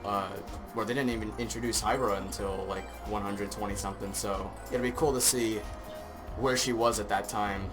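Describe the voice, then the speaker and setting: Male podcast guest, slightly low voice, relaxed chat format, guitar music clearly underneath. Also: normal speed. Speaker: podcast guest from a fandub group. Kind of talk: casual chat. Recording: music clearly playing behind the voice.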